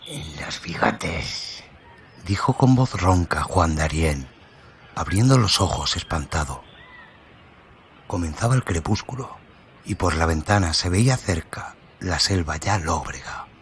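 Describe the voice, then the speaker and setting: A man's voice: low-pitched, animated, a little slow. A man narrating a literary story in a dramatic radio style, over a noisy ambient background.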